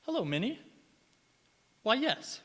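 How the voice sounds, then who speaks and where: A man's voice: very high-pitched, sing-song, slow pace, a bit bright, full character voice. A man acting an earnest, flustered young student in a stage radio play, polite and a little stammering. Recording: echoey hall with long reverb.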